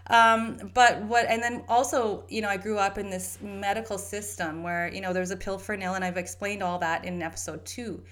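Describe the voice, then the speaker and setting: A woman's voice: medium pitch, steady tone, normal speed, few pauses, fairly bright tone. Rambling woman podcaster, earnest and chatty. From Canada. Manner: rambling, frequent restarts and fillers, earnest. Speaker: podcast host and holistic health practitioner. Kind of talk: casual solo podcast monologue.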